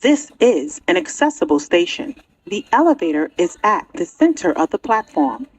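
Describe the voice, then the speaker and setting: Lively-pitched woman transit announcer, lowish voice. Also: moderate pace, husky. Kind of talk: scripted accessibility announcement.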